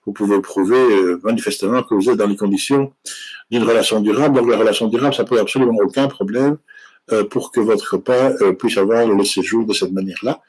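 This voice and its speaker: A man's voice: lowish voice, a little fast, a little mellow, breathy edge, rough recording. A man working as an immigration lawyer, answering viewer questions live and explaining the law with frequent hesitations and restarts.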